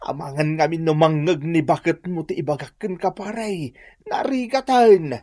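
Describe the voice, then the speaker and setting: A man's voice: on the high side, normal speed, darkish tone, no echo, clean studio sound, close to an everyday voice. An actor playing a gossipy, gushing man confiding in his buddy in a radio drama, with an Ilocano Filipino accent. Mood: secretive.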